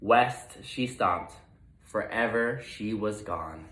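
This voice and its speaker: A man reading a children's storybook aloud with expressive narration. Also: on the low side, slow pace, echoey room, slight background noise, poor audio. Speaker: storybook reader.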